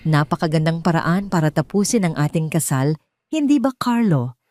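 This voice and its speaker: A woman storyteller voices a betrayed Filipino bride in an audio drama. The bride speaks in a measured, firm way, calm on the surface and full of determination. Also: sits low, no echo. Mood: cold resolve. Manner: clear, firm, measured delivery.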